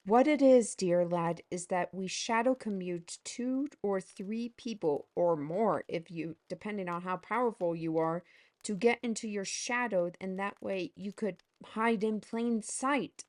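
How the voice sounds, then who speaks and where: Eine Frau als neckende Heldin Vinchi. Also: female voice, mid-range voice, a little slow, darkish tone, close to an everyday voice. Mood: persuasive. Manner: playful, teasing, persuasive. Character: Vinchi, bold teasing woman artisan steward. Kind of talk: fantasy audio drama.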